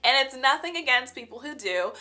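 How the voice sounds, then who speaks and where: A woman's voice: sits high, expressive, a little fast, pushed and bright. A young woman with a stuffy, cold-blocked voice, talking in an earnest, chatty way full of "like" fillers in a reflective vlog. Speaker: vlogger. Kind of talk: casual reflective vlog talk.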